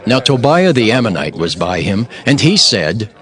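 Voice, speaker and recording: A man narrating scripture for an audio drama in a solemn, formal and measured way. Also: fairly low pitch, very animated, fairly bright tone. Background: music.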